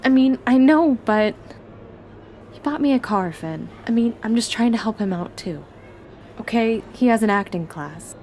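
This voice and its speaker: A woman's voice: fairly high pitch, lively intonation, normal speed, darkish tone, a little hiss or hum, lightly acted. An actress in her twenties playing a guarded, defensive young woman in a film drama, talking in a conversational, evasive way. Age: 20s. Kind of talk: naturalistic film drama dialogue.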